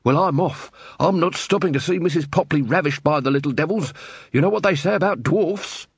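A man's voice: a little high, a little fast, slightly rough, dry recording, voice pushed up. A man voicing an agitated, gossipy and small-minded town grocer, in an audiobook comic fantasy story. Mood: flustered. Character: flustered, gossipy, prejudiced male town grocer.

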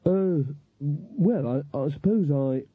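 A man's voice: fairly high pitch, expressive, takes it slowly, husky, voice pushed up, full character voice. A man voicing an earnest, encouraging fairy godmother, a woman who is insistent but easily flustered, in an audiobook story. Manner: insistent, hesitant when challenged. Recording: echoey, narrow phone-like audio.

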